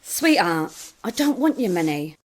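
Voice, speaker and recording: A woman's voice: fairly low pitch, big pitch swings, measured pace, low-quality recording. A woman acting a wildly swooping tavern cook. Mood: motherly. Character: motherly but feisty tavern cook. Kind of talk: stage drama acting.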